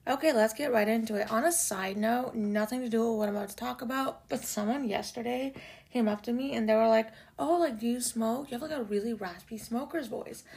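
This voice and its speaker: A young woman from Canada with a low, raspy voice hosts a casual solo podcast, chatty and swearing freely, with lots of "like" and "y'all". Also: brisk, a little mellow. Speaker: podcast host. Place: home room in Toronto.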